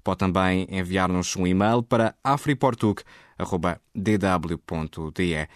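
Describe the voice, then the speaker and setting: Male newsreader, low and steady, dry studio read. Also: no room sound. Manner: steady, measured delivery. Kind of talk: scripted radio news reading.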